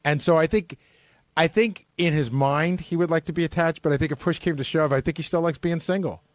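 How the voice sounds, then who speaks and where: A man's voice: a little high, brisk, no room sound. A middle-aged man hosting a talk show, arguing his points insistently in unscripted radio talk.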